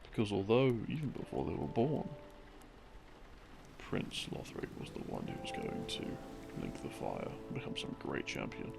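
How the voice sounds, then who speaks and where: A man telling lore as a soft, rambling sleep-aid story, breathy and often sinking into a murmur, in halting short phrases. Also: fairly low pitch, wildly swooping pitch. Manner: halting, broken into short phrases with long pauses. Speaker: audio roleplay narrator, playing a boyfriend explaining game lore. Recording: music behind the voice. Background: soft background music.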